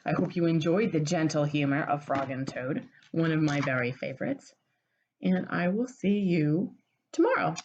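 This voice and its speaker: A woman reading a storybook aloud to young children in an expressive teacherly way. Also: deep voice, normal speed, highs cut off, a little hiss or hum. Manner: expressive, addressing small children.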